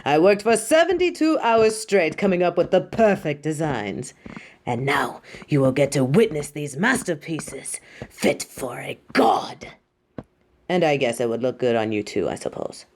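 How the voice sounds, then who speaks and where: A woman's voice: low voice, expressive, measured pace, a little mellow, slight background noise. A woman playing a vain, imperious superhero costume designer in a theatrical audio roleplay, haughty, dramatic and doting toward the listener. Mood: smug, proud.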